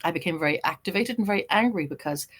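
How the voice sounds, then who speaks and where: Fast-talking Irish woman telling her story. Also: fairly low pitch, husky. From Ireland. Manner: animated storytelling, quick and lively. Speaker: guest, journalist and writer. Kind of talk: casual interview chat.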